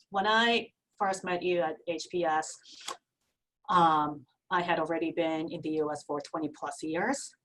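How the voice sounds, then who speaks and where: A woman's voice: low voice, fairly even intonation, unhurried, a bit bright, distant mic, a little hiss or hum, low-quality recording. A woman in her forties from Japan with a Japanese accent, a guest in an interview, speaking earnestly with frequent restarts. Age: 40s. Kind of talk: casual interview.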